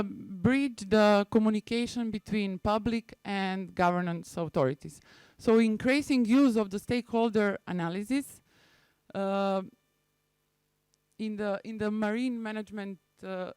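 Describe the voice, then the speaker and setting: A woman with a Serbian accent giving a prepared conference presentation in a hesitant, halting manner, often restarting phrases. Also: mid-range voice, a little mellow, clear, resonant tone, no echo. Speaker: conference presenter, maritime spatial planner.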